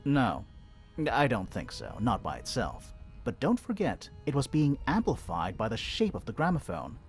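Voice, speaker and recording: A man's voice: mid-range voice, wildly swooping pitch, moderate pace. An actor playing an eager, theatrical detective in a video game, explaining his reasoning expressively over music. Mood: explaining, intrigued. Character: eager male detective, theatrical, reasoning aloud through clues. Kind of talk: video game voice acting, dialogue. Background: background music.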